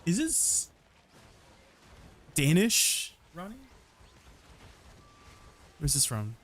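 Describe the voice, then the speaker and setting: Higher-pitched man streaming a game. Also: sing-song, extremely slow, slight background noise. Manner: explanatory, tags sentences with "right?"; chatty, answers viewers' questions. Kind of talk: casual livestream commentary while playing.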